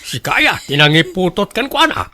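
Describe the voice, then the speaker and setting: Man playing a high-voiced, indignant older father. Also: very animated, moderate pace, bright, forceful tone, heavily acted. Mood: stern, angry. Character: indignant older father protective of his married daughter. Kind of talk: radio drama acting.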